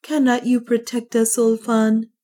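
A woman's voice: on the high side, level tone, unhurried, mellow, voice pushed up, close to an everyday voice. A woman reading an audiobook, voicing a proud young woman posing as a queen, speaking anxiously and urgently.

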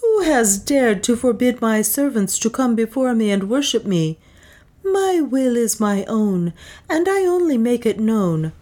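A woman voicing a young woman treated as a goddess, imperious before priests and frightened in private, her voice lifted higher than her narration. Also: big pitch swings, unhurried, dark tone.

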